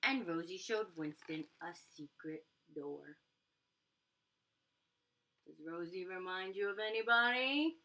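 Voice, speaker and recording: A woman reading a children's storybook aloud expressively, pausing to put questions to her young listeners. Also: lowish voice, sharp tone, low-quality recording. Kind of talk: animated children's read-aloud with asides to listeners.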